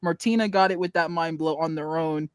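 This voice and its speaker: A woman's voice: low-pitched, a little fast, clear, resonant tone, no echo, studio quality. A woman in a casual group podcast discussion, speaking informally and insistently. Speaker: podcast panellist.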